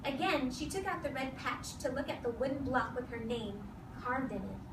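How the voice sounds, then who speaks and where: A high-pitched woman speaks as an elementary teacher, heard from across a noisy room. She gives firm, short instructions and repeats key phrases on purpose as she leads a read-aloud for small children. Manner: firm, directive, with short commands; deliberate repetition of key phrases. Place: classroom full of seated children. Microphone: distant camera across the room. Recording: echoey, noisy classroom recorded from far off.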